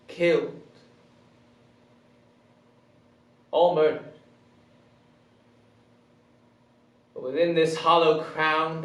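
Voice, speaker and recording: A man performing a deposed, bitterly grand king in a theatrical Shakespearean verse monologue, declamatory and drawn-out, swinging between hushed and ringing. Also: a little high. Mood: brooding. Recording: roomy echo, distant microphone.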